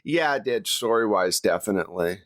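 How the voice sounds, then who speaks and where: A man's voice: lowish voice, lively intonation, a little fast, a bit bright, no echo, studio quality. A man speaking casually as host and game master in a round-table discussion.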